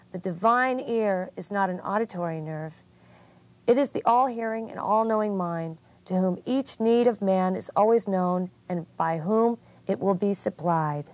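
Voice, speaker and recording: A woman reading religious text aloud as a guest reader, in a measured, earnest way. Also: medium pitch.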